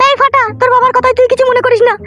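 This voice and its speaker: A woman's voice: extremely high pitch, flat delivery, very fast, a crowd in the background. A woman playing a protective, nagging village mother, curt and bossy, in a comedy cartoon. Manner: indulgent to her son, curt and bossy.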